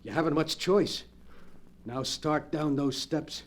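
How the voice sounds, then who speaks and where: A man's voice: a little high, slow. A man playing a hard-boiled, desperate gangster on the edge of madness in 1940s radio melodrama, on an old noisy recording. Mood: curt, menacing.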